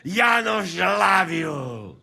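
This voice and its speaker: An actor playing a loud, exuberant foreign mercenary with an Eastern European accent and broken English in a video game. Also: male voice, high voice, big pitch swings, drawn-out words, creaky, breathy edge, full character voice. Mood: merry, drunken. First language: not English. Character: boisterous foreign mercenary, hearty drinking companion in broken English. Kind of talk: video game cutscene acting.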